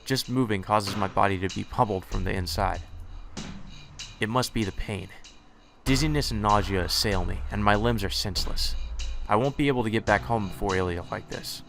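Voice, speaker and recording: A man's voice: lowish voice, a little fast, vocal fry, fairly bright tone, slight background noise. A man reading visual novel text aloud with casual commentary, sometimes stumbling over words and correcting himself.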